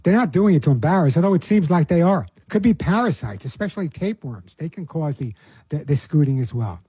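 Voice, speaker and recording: A man's voice: on the high side, animated, fairly quick, mellow, slightly rough, no room sound, rough recording. An older man from New York, a pet behaviorist hosting a casual advice show, talking in a chatty way and often repeating words. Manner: chatty, repeats words, answers listener emails.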